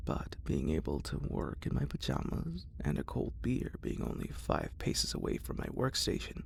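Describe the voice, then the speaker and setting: A man reads a horror story in the first person as ASMR, in a breathy, gravelly, largely whispered voice. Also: low voice, a little hiss or hum.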